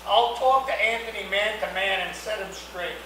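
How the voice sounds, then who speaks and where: A man's voice: high-pitched, moderate pace, natural voice. A man acting a grumpy but affectionate elderly husband in a comedy screenplay reading. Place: indoor reading before an audience, far mic. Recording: very echoey room, distant mic, faint noise.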